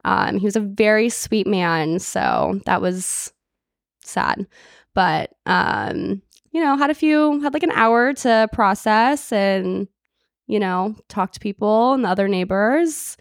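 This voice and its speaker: A woman in her twenties co-hosts a podcast in a loose, friendly way, laughing along as she talks. Her pitch is average for a woman. The recording is close and dry. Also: slow, vocal fry, dry recording. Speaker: podcast co-host. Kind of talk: casual chat.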